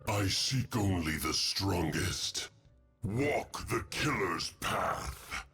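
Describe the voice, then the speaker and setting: A savage lion-like hunter for a video game. The voice is raspy and growling, swinging widely in pitch over music. Every phrase is menacing and deliberate, like a veteran predator's. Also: male voice, lively intonation, sharp tone, heavily acted. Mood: menacing, predatory. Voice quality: growling, gravelly, with lion-like roars in the throat. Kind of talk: video game character voice lines.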